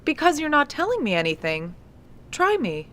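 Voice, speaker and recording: A woman's voice: sits high, wildly swooping pitch, moderate pace, fairly bright tone, heavily acted. An actress playing an earnest, persistent young researcher recording her findings in a horror audio drama. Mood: insistent. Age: young adult. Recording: noisy lo-fi tape field recording.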